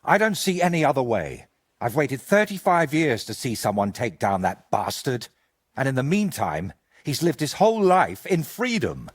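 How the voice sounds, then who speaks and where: An actor playing a troubled, guilt-ridden older medieval painter in a video game, husky and earnest, as if confessing. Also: male voice, mid-range voice, very animated, normal speed. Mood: bitter, desperate. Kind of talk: video game dialogue acting.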